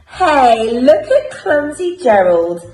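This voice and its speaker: A woman voicing a crowd of jungle animals in a storybook, calling out loudly and teasingly. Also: sits high, very animated, takes it slowly, echoey room, faint music behind, pitched above the performer's own voice, full character voice, low-quality recording. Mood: mocking.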